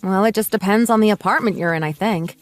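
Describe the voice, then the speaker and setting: A woman's voice: mid-range voice, fast talker, faint music behind, lightly acted. A woman playing a sensible, put-upon mother in her late thirties in a radio drama, polite but firm. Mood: reasonable. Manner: polite, reasonable, mildly exasperated.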